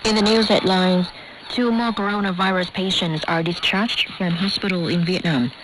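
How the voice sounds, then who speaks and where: A woman reading a formal news bulletin in a crisp, scripted way, heard through a shortwave radio broadcast with static. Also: on the low side. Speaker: newsreader.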